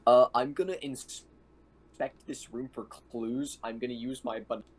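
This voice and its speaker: A man's voice: mid-range voice, fairly even intonation, slow, poor audio. A man chatting casually and jokingly as a player in an online tabletop game. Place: online voice call.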